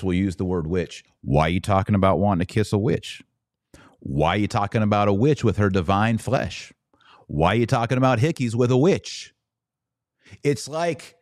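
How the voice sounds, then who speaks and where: Very deep-voiced American man, sarcastic commentator. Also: darkish tone, dry recording, studio quality. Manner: sarcastic, emphatic, repeats phrases for effect. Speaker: podcast host and commentator. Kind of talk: opinionated solo podcast commentary, reading quotes and reacting.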